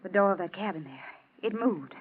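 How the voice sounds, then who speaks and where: A woman's voice: medium pitch, expressive, normal speed, breathy edge. A woman playing a self-assured, teasing young lady of a country estate, in 1940s radio acting style. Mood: uneasy. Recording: dull, noisy old radio recording.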